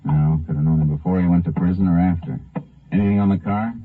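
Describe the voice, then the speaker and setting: An actor playing a tough, terse Texas Ranger who questions and narrates in a 1950s radio drama. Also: male voice, deep voice, steady tone, quick pace. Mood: businesslike. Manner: terse, commanding, clipped questioning. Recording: muffled vintage 1950 radio recording, music behind.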